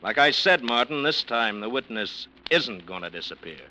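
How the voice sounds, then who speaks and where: Hard-nosed detective, needling and blunt, very bright cutting tone, faint noise behind. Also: male voice, medium pitch, lively intonation, normal speed, poor audio. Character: tough, blunt male police detective. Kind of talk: 1940s radio acting.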